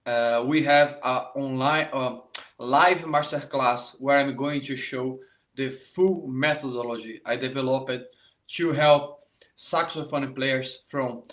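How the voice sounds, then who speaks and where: A man's voice: medium pitch, fairly even intonation, a bit bright. Brazilian sax teacher guy, middle-aged, live online class, stops and repeats himself a lot, regular mid voice. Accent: Brazilian Portuguese. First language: Portuguese. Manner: halting, repeats words often, addresses viewers directly. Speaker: saxophone teacher. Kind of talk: live online masterclass talk.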